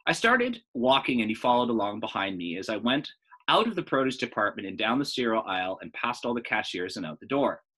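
A man in his thirties reading a children's book aloud as a storyteller, in an animated style. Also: mid-range voice, fairly quick, rough recording.

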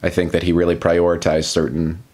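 Male vlogger, American, thirties, slightly deep voice; casual confessional monologue, self-deprecating and meandering. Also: completely flat delivery, fairly quick, darkish tone. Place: small plain room indoors.

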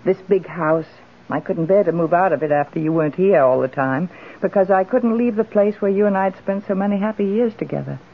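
An actress playing a genteel, well-to-do middle-aged widow in a radio drama, gracious and expressive. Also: female voice, on the low side, brisk. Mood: questioning, wistful. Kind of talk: old-time radio drama acting. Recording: muffled, band-limited old radio broadcast.

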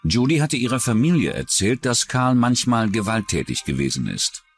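A man's voice: mid-range voice, big pitch swings, normal speed, soft background music. A man reading scripted true-crime documentary narration as the narrator.

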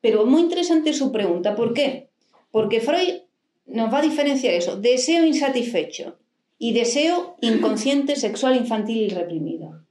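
A woman's voice: on the high side, animated, normal speed, darkish tone. A middle-aged woman psychoanalyst giving a lecture into a handheld mic, explaining and answering with rhetorical questions. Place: library hall with a desk and plants. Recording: echoey hall.

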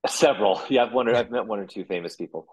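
A man, a puppeteer, talking casually as a guest, rambling with restarts and quick laughs. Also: fairly low pitch, a little mellow, slightly rough, low-quality recording. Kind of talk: casual interview chat.

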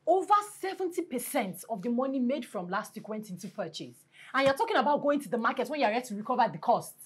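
A woman's voice: fairly high pitch, sing-song, fast talker, slightly rough. Stern Nigerian mother, wildly swooping voice. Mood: stern scolding. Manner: scolding, peppered with sharp rhetorical questions. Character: stern, exasperated mother running a struggling restaurant. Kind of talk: naturalistic film drama.

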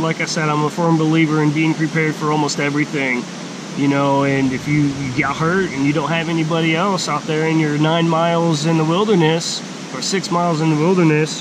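A middle-aged man giving a casual, plain-spoken outdoor gear walkthrough in a forest by a stream, speaking slowly with halting pauses. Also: on the high side. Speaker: hiking vlogger. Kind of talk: casual gear show-and-tell. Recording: steady outdoor background hiss.

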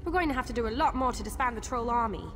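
A woman voicing a spirited young female adventurer in a video game, chatty, earnest and wryly expressive. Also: on the high side, racing, long reverb tail, faint music behind, close to an everyday voice. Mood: resolved, earnest. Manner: expressive, wry. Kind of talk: video game character dialogue.

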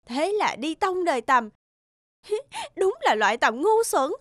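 A woman's voice: extremely high pitch, sing-song, takes it slowly, fairly bright tone, full character voice. A woman voicing a spiteful, mocking female spider in a cartoon, with a sneering, taunting delivery. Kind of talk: cartoon voice acting.

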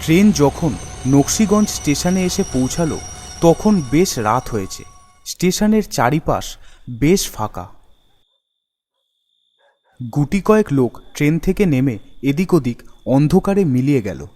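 A man's voice: fairly high pitch, very animated, measured pace, long pauses, darkish tone. A man giving scripted narration of a ghost story as the storyteller. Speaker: storyteller and voice artist. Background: background music.

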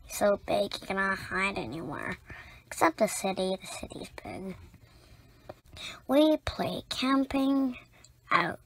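A woman reading a children's picture book aloud as a storyteller, in deliberate, spaced-out phrases with short asides to young listeners. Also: mid-range voice, a bit bright, rough recording.

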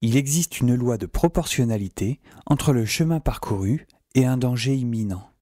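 A man's voice: mid-range voice, animated, normal speed, no echo, studio quality. A man giving scripted first-person narration of an audio drama, with wry, chatty asides to the listener. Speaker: narrator and lead character of an audio saga. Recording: loud music under the voice. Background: loud music.

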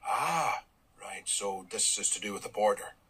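An actor playing a blunt, wry middle-aged dairy farmer with a Northern Irish accent, in a radio drama. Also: male voice, fairly low pitch, lively intonation, unhurried, pushed and bright, husky, full character voice. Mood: wry, stubborn.

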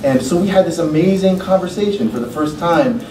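A man's voice: on the high side, brisk, warm tone. A middle-aged man, a poet, reading his poems at a lectern in a lecture room, with loose, joking, profane asides between them. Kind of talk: poetry reading with casual asides. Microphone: podium mic from a far camera. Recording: roomy, distant, noisy room sound.

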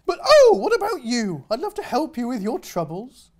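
A man doing a shrill, fussy hippo lady. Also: huge pitch swings, normal speed, heavily acted. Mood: grateful. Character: fussy female hippo who hates dirt.

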